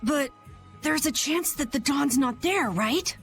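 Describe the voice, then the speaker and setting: A woman voicing an anxious, excitable young boy adventurer in a video game, with urgent delivery. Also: high-pitched, takes it slowly, gravelly, exaggerated voice. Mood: anxious, unsure. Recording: music under the voice.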